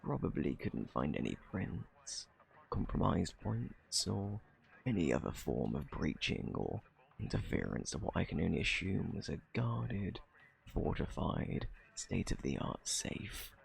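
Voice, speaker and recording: Man acting a gravelly, flirty British thief. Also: low voice, sing-song, full character voice, rough recording. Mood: smug, challenging. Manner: playful, taunting, drawn-out theatrical delivery. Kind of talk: ASMR roleplay, intimate scripted monologue.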